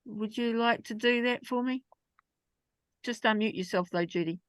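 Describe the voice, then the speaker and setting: A woman hosting an online meeting over a video call, gently prompting her guests. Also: on the high side, normal speed, no room sound. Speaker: meeting host.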